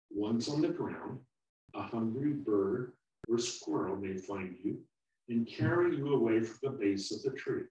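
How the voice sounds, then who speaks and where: Man doing a gentle elder apple, mid-pitched, calm and patient, firm when needed. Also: roomy sound, distant mic, poor audio. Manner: calm, soothing, gently stern. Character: wise, patient old apple.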